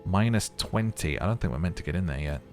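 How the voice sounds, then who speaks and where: A man's voice: low-pitched, lively intonation, fast talker, soft background music. A man giving casual gaming commentary as host of a playthrough, muttering to himself as he plays. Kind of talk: playthrough commentary with read-aloud game narration.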